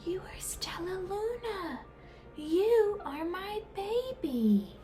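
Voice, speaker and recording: A woman voicing a tender, reassuring mother bat in a children's storybook, with gentle emotion. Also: extremely high pitch, very animated, very slow, faint music behind, pitched above the performer's own voice, heavily acted. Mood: hushed wonder. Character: long-lost mother bat, tender, reassuring adult female.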